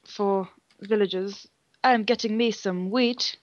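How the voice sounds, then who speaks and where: Young female gaming co-host, casual chat, average pitch, muffled lo-fi recording. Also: fairly even intonation, measured pace, no room sound. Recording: dull lo-fi voice-chat sound.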